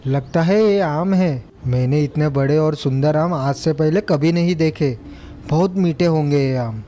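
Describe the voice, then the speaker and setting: Man playing naive cart driver, mellow highish voice. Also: measured pace, slight background noise, close to an everyday voice. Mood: admiring, tempted. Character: naive, respectful cart driver working for a merchant. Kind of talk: acted moral story dialogue.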